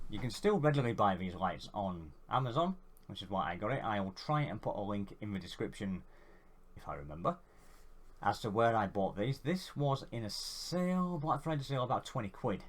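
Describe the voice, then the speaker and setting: A man with a head cold and a congested voice, giving a rambling, casual how-to vlog. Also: on the low side. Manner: rambling, chatty, self-deprecating. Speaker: YouTuber.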